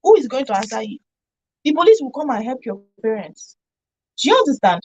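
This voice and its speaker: A woman's voice: high-pitched, big pitch swings, fairly quick, slightly rough, poor audio. A woman arguing her view emphatically in a radio discussion.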